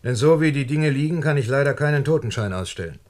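A stern male doctor with a medium-pitched voice played by an actor. He speaks formally and deliberately, with grave firmness, in a German radio play. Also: expressive, darkish tone, natural voice. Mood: grave, firm.

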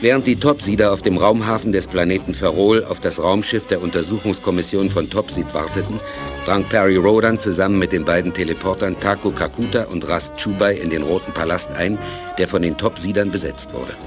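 A man with a husky voice narrating a German audio drama from a script. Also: sits low, a little fast, over music.

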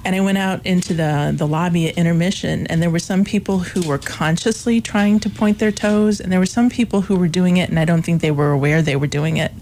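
A woman from Illinois, a theater professor, talks about her career as a radio guest. Her voice is on the low side and loud, and she often laughs between thoughts. Faint room ambience is heard behind her. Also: steady tone, fairly quick, few pauses, slight background noise. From Illinois, USA. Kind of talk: interview conversation. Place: college radio studio.

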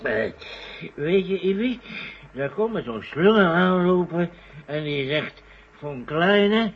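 Creaky, gloating old professor. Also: male voice, high voice, expressive, slow, exaggerated voice. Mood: gloating, gleeful. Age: older. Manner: lecherous, gleeful, rambling, chuckling. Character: gloating, tipsy old male German bomb scientist. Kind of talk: 1970s Dutch radio drama acting. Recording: dull, noisy old radio recording.

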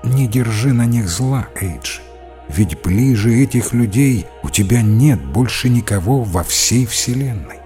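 A man voicing a kindly but gently stern priest who counsels in a calm, encouraging, preachy way. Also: mid-range voice, a little slow, faint music behind, lightly acted. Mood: gentle, consoling.

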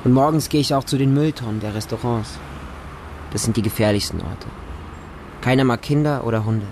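An actor playing a homeless street child, narrating a radio drama in simple, intimate short sentences. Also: male voice, medium pitch, a little mellow, slight background noise, lightly acted. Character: homeless Moscow street child who befriends stray dogs. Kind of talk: first-person radio drama monologue.

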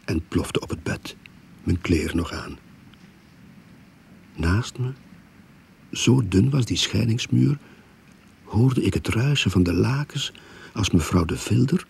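An actor playing the first-person narrator of a suspense radio drama, telling it in a hushed, tense way. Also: male voice, on the low side, creaky, breathy edge, heavily acted. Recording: faint background noise.

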